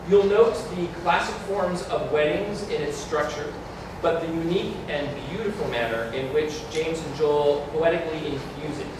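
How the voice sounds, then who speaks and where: A man with a slightly high voice introduces a stage show as its host. He is heard at a distance in a very reverberant hall, with clear noise around him. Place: community hall stage before an audience. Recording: very echoey hall, distant, noisy.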